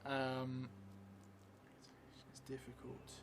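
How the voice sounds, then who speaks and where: A middle-aged man thinking aloud as he improvises a story for a solo role-playing game, halting and musing. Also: medium pitch, monotone, noisy background. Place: small indoor room at a table. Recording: music behind the voice.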